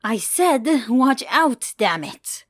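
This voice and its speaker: A woman's voice: fairly high pitch, very animated, extremely slow, dry recording, pitched above the performer's own voice, full character voice. Girl acting out a bold cheeky monster hunter lady, fantasy audio story, punchy and teasing, really dry close sound. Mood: exasperated. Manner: cheeky, defiant, emphatic. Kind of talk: acted dialogue in audio fan fiction.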